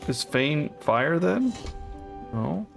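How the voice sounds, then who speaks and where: A man's voice: fairly high pitch, big pitch swings, extremely slow, vocal fry, music in the background. A man casually commenting on a co-op game as he plays, thinking aloud and unsure as he works through a puzzle. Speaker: co-op game let's player.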